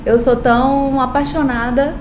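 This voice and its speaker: A woman's voice: high voice, fairly even intonation, a little slow, reverberant, noisy background. A woman from Brazil, a lawyer and law professor, telling her story earnestly in a podcast interview, with frequent hesitations. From Brazil, born in Paraíba, raised in Brasília.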